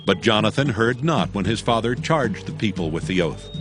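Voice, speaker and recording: A man narrating a dramatized Bible in a solemn, measured, scripted style. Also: on the low side, expressive. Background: music.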